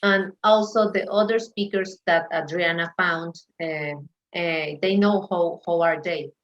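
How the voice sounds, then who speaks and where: A woman's voice: fairly low pitch, fairly even intonation, measured pace. A woman with a Mexican accent, a native Spanish speaker, talking as an interview guest and searching for English words. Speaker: panel guest, event organizer. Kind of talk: conversational interview. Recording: dull, lo-fi call audio.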